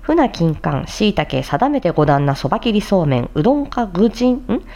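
Woman, lively intonation, soft mellow tone, theatrical reciter, clear enunciation, polite chatty host. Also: mid-range voice, normal speed. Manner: polite, chatty asides to viewers. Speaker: voice actor hosting a tongue-twister challenge. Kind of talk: tongue-twister recitation mixed with casual commentary.

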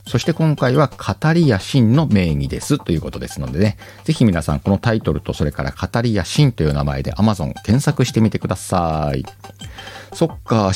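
A deep-voiced man hosting a casual solo show and reading out listener letters. His delivery swings up and down a lot, loose and chatty, with frequent laughs and small slips. Soft music plays faintly behind him. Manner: relaxed, chatty, laughs often, stumbles over words.

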